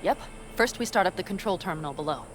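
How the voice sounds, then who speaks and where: A woman's voice: fairly low pitch, lively intonation, quick pace, over music, lightly acted. An actress playing a tough, blunt young nomad woman in a video game, plain-spoken and sardonic. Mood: brisk, resolute. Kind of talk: naturalistic video game voice acting.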